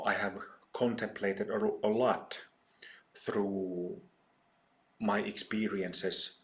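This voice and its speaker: A middle-aged man with a Finnish accent gives a dharma talk to a small retreat group. His voice sits fairly low, and he speaks very slowly, pausing often and filling the gaps with ums and uhs. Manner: slow, hesitant, many ums and pauses. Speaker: meditation teacher.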